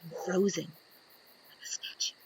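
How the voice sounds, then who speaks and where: A woman reading aloud to young children in an expressive storytelling style, deliberate and fond of dramatic pauses. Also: a little hiss or hum, rough recording.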